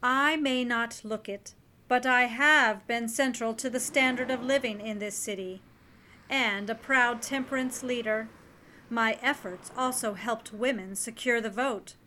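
A woman playing an imperious, scheming elderly grandmother in a 1930s noir audio drama, stern and measured. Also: a little high, bright, forceful tone. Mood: grave, haughty. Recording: clear background noise.

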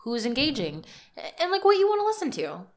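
A woman's voice: sits high, wildly swooping pitch, brisk. A woman co-hosting a podcast in casual chat, talking loosely with lots of filler words and a slightly congested, nasal sound. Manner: chatty, trailing filler words. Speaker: podcast co-host.

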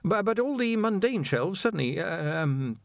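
A man's voice: high voice, sing-song, normal speed, gravelly, no room sound, clean studio sound, pitched above the performer's own voice, exaggerated voice. A man voicing a nervous young male wizard scholar who stammers and hesitates. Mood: flustered.

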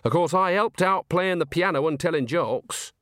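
A man's voice: fairly high pitch, normal speed, a bit bright, no room sound, studio quality, pitched above the performer's own voice, natural voice. A man voicing a chatty, homely, cheeky old woman witch in an audiobook, folksy and rambling. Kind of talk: audiobook character acting.

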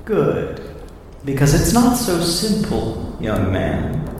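Sly demonic deal-maker for radio horror, very slow, theatrical and menacing, in cavernous reverb. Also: male voice, a little high, huge pitch swings, heavily acted. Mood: businesslike, sinister. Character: sly devilish pastel monster, taunting deal-maker. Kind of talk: radio drama acting. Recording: echoing hall-like reverb, distant, faint noise.

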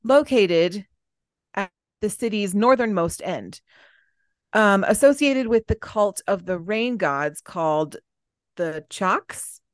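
A woman's voice: medium pitch, animated, slow pace, no room sound. A woman co-hosting a podcast, reading researched notes in an informal way and chatting casually between them. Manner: informal, stumbles over foreign names. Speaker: podcast co-host.

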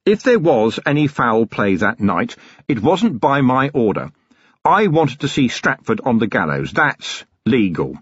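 A man voicing a gruff middle-aged police commander who talks bluntly and sullenly. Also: medium pitch, sing-song, unhurried, fairly bright tone, dry recording, lo-fi sound, voice pushed up, exaggerated voice.

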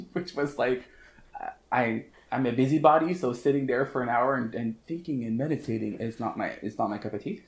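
Quirky man in his thirties, slight French Canadian accent, self-mocking and giggly, recorded in an echoey room. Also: medium pitch. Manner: playful, laughs often, self-mocking. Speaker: podcast guest, hairstylist. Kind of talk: casual chat.

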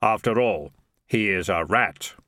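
A man voicing Death, a grave and aloof male reaper who speaks slowly and solemnly. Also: on the low side, bright, forceful tone, no room sound, clean studio sound. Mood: solemn, dry. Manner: slow, solemn, measured.

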